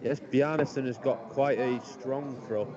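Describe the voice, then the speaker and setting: A man commentating darts in a measured, technical way, calling out scores and checkouts. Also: medium pitch, fairly even intonation, highs cut off, a crowd in the background.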